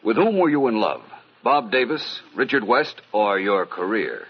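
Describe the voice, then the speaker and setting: An actor playing a suave, shrewd gentleman private detective in an old-time radio mystery, polite, probing and dryly understated. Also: lowish voice, very animated, measured pace, breathy edge, full character voice. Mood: confident. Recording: dull, muffled old radio recording.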